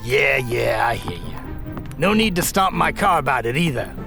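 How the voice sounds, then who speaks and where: A man's voice: mid-range voice, big pitch swings, moderate pace, vocal fry, over music. A man playing a grouchy, streetwise older henchman supervisor in an audio drama, slangy and sardonic. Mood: grumbling.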